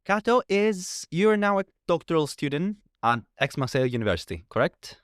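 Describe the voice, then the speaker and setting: Animated young male podcast host. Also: a little high, brisk, dry recording, clean studio sound. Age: young adult. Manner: upbeat, repeats short affirmations. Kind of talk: friendly interview hosting.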